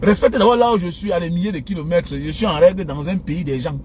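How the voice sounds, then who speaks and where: High-pitched, animated Cameroonian man ranting. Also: quick pace. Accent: Cameroonian French. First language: an African mother tongue. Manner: animated, indignant, repetitive, mocking. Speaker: social media commentator. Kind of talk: impassioned informal rant to followers. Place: inside a moving car. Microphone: phone at arm's length. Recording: noisy car interior with road traffic.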